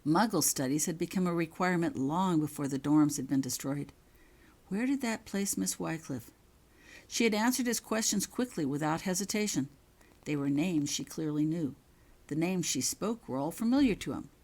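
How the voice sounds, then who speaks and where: A woman narrates a scripted story as its author. Her voice sits low and moves expressively through a wide range. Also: darkish tone. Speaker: author reading own fan fiction.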